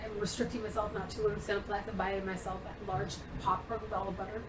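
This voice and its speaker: A woman's voice: mid-range voice, quick pace, distant mic, noisy background. A young woman, a comedian, telling rambling stories in a casual podcast chat. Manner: rambling, animated storyteller who acts out quotes. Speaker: guest comedian. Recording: roomy, from off mic.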